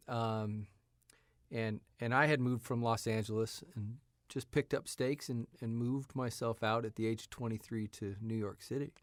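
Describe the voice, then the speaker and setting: A man in his fifties telling stories casually as an interview guest, in a halting, meandering way. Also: medium pitch, no room sound, clean studio sound. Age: 50s. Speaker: writer, actor, interview guest. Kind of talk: casual conversational storytelling. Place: radio studio.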